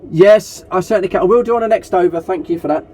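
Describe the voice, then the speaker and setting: A man's voice: high voice, fast talker. A man chatting casually over CB radio as a group net operator, matey and polite, using call signs and radio jargon. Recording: noisy CB radio transmission with hiss.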